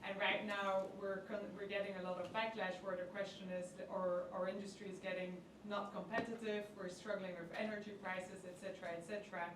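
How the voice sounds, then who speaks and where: A woman from Belgium in the audience asking the panel a measured question. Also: medium pitch, little pitch movement. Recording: distant mic in an echoey hall, noisy.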